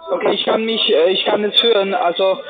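High-voiced man on tinny phone with music. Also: fairly even intonation, fairly quick. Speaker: call centre caller. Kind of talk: phone conversation. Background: music and busy call centre.

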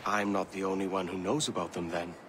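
An actor with a Welsh accent playing a roguish, blunt, self-interested pirate assassin in a video game, brusque and casual in speech. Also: male voice, sits low, little pitch movement, a little fast, fairly bright tone, slight background noise. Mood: blunt, impatient. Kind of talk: dramatic video game acting.

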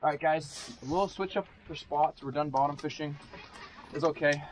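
A man's voice: on the high side, moderate pace. Young man narrating from a fishing boat. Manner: excited, talks to viewers while fighting fish. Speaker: fishing channel host. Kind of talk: casual fishing vlog chat. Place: small boat on open sea, outdoors. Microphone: action camera on the boat. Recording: wind and boat noise outdoors.

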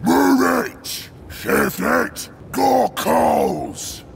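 A man voicing a brutish, bloodthirsty orc warrior for a video game, bellowing short, rough war cries, eager for battle. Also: extremely high pitch, huge pitch swings, drawn-out words, a little hiss or hum, exaggerated voice. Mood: bellowing, impatient. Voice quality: gravelly, rough.

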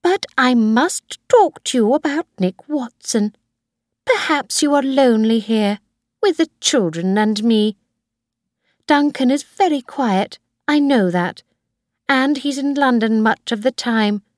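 Lady doing a bossy old grandma from a storybook, firm and scolding, pitched up, very animated. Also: takes it slowly, dry recording, studio quality. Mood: firm, warning. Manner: firm, emphatic, admonishing. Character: stern elderly grandmother, a kindly but bossy employer.

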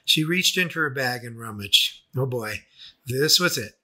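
A man reading a scripted first-person memoir as its narrator, with a husky voice. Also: medium pitch, animated, a little slow, pushed and bright. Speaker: author and narrator.

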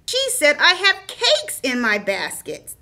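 An exasperated shaggy dog puppet voiced by a woman in a children's puppet show. The high voice swings widely in pitch as it corrects a friend, insistent, emphatic and scolding. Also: wildly swooping pitch, slow pace, sharp tone, full character voice. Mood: frustrated, emphatic. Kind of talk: children's puppet theater dialogue.

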